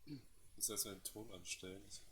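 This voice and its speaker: A man's voice: lowish voice, a little fast, a little hiss or hum. A man, a native German speaker, doing casual live commentary as a game streamer. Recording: loud game music behind voice. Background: game music.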